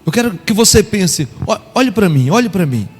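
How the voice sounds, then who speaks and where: A man's voice: high-pitched, big pitch swings, moderate pace, slightly rough. A middle-aged man from Brazil, preaching a live sermon with fervent exclamations of praise. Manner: impassioned, exclaims aleluia often. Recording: reverberant hall with faint music.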